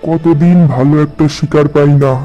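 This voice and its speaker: A man's voice: a little high, steady tone, moderate pace, highs cut off, lightly acted. A man voicing a plain-spoken character in a cartoon folk tale, with music in the background. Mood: pleased, scheming. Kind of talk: cartoon voice acting.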